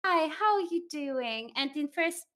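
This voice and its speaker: Woman from Austria, higher voice, animated and informal company livestream talk. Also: a little slow. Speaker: company communication manager, livestream participant. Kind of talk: casual chat.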